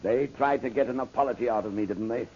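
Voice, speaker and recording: A man's voice: mid-range voice, quick pace, a little mellow, husky. An actor playing a defiant middle-aged clergyman held captive, indignant and theatrical, in an old-time radio serial. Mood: stubborn, warm. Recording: dull lo-fi old radio recording.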